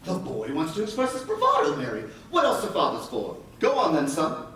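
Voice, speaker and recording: A man's voice: sits high, wildly swooping pitch, brisk, pushed and bright, heavily acted. Pompous, fussy father, wildly swooping delivery. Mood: pompous, encouraging. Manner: pompous, theatrical, fussily wordy. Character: pompous, fussy, flustered father chasing status. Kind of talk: theatrical stage comedy acting. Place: theatre stage. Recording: echoey stage recording, distant mic, faint noise.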